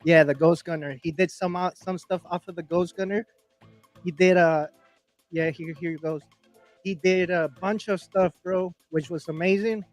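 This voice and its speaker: A man's voice: sits high, steady tone, normal speed, soft background music. A man hosting a podcast in casual chat, loose and slangy, calling his guest "bro" often. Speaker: podcast host.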